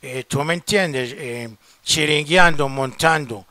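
A Colombian man making a comedic prank call, smooth-talking and full of pet names. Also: a little high, slow pace. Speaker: prank caller, show host. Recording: very noisy phone-call recording.

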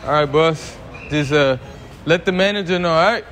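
A man's voice: on the high side, normal speed, fairly bright tone. A young adult man chatting casually as a prank vlog host, slangy and peppering his talk with bro and boss. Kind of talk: casual chat in a hidden prank. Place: large warehouse store, later parking lot. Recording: noisy store and outdoor ambience.

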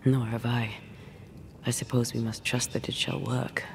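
A woman playing the brooding, resentful ghost of a young woman in a dramatic game, with breathy, measured, old-fashioned speech. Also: a bass voice, little pitch movement, a little hiss or hum. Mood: solemn. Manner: measured, archaic phrasing.

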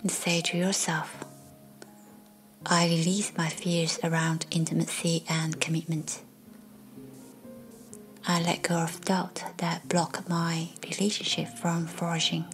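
A woman guiding a meditation in a soft, breathy, half-whispered voice, speaking gently in measured short phrases with long pauses. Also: on the low side, flat delivery. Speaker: meditation guide. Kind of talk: guided meditation, slow scripted narration. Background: faint background music.

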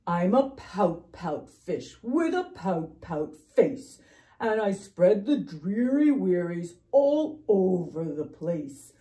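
A woman acts out a gloomy storybook fish, a male who feels sorry for himself. He drags his words out mournfully, with a mellow tone and pitch that rises and sinks dramatically. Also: sing-song. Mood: resigned, glum. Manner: slow, drawn-out, mournful delivery. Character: gloomy self-pitying male pout-pout fish.

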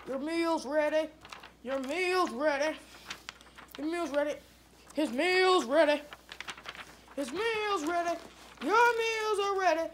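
A woman's voice: extremely high pitch, takes it slowly, pauses often, slight background noise. A woman doing an improvised comedy skit with plush toys on a living room couch, with a drawling, mumbly delivery. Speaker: puppeteer voicing plush toys.

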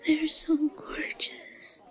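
A woman's voice: extremely slow, whispered. A woman playing a timid, lovestruck yandere schoolgirl in an audio roleplay, stammering and murmuring breathily with hesitation. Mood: tearful, infatuated. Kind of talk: intimate audio roleplay acting.

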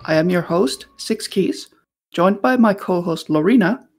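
Woman podcast host, gravelly fry in her voice, friendly prepped intro and questions. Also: fairly low pitch, animated, a little slow, soft background music. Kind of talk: friendly scripted-sounding interview hosting.

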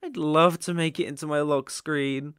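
A man playing a sadistic, obsessive young kidnapper in an ASMR roleplay, gloating with menacing, mocking, drawn-out phrasing. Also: on the high side, steady tone, fairly bright tone, clear, resonant tone, dry recording, studio quality, close to an everyday voice.

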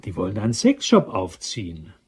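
An actor in a German crime radio drama playing a prim, fussy office boss who talks in an indignant manner. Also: male voice, fairly low pitch, wildly swooping pitch, brisk.

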